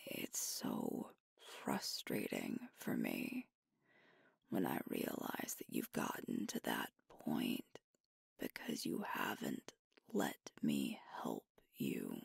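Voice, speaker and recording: A woman voicing a sweet, devoted werewolf girlfriend in an ASMR roleplay, whispering tenderly and unhurriedly with a breathy voice close to the mic. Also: a bass voice, very animated, no room sound, heavily acted. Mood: worried, earnest. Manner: tender, earnest, soothing, unhurried. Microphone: close microphone, dry.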